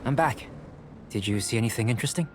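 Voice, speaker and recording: A man playing a composed young alchemist in a video game, speaking in a measured, formal, analytical manner. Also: medium pitch, slight background noise, natural voice. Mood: curious, composed. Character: calm, courteous young male alchemist and researcher. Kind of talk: video game character dialogue.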